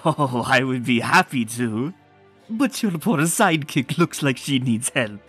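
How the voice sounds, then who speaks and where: A woman voicing a smug, scheming male villain pony in a comic dub, speaking suavely and mockingly in a lowered voice. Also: lively intonation, a little slow, husky, faint music behind, full character voice.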